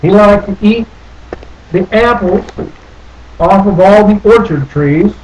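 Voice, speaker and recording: A man reading a children's picture book aloud in a folksy, playful, drawn-out way with little asides. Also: high voice, a little mellow, slight background noise, rough recording. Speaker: storybook reader.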